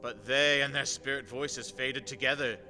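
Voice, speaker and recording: A man's voice: medium pitch, steady tone, moderate pace, sharp tone. A man narrating a radio play in a dramatic, scripted storytelling style. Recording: long reverb with music underneath.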